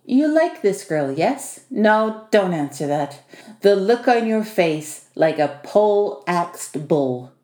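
A woman's voice: mid-range voice, very animated, slow pace, darkish tone. A woman voicing a short, bossy male house spirit for an audiobook, wry and teasing, with foreign-sounding turns of phrase. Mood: teasing, warning. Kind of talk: audiobook character dialogue. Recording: echoey room.

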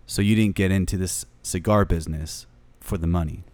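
A man hosting an interview in a cigar lounge, enthusiastic and quick to praise. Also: low voice, steady tone, a little mellow, no echo. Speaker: podcast host.

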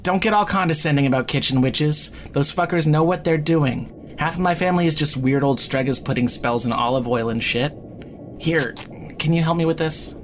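An actor playing a flippant, guarded young magic-user in an audio drama, casual and profane, with a halting, sardonic delivery. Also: male voice, fairly high pitch, faint music behind, lightly acted. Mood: teasing, cocky. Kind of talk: naturalistic audio drama acting.

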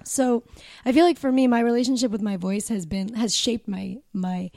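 A woman singer-songwriter with a raspy voice, talking casually as a podcast guest. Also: on the high side, steady tone, normal speed, darkish tone, no echo, clean studio sound. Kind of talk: casual group chat.

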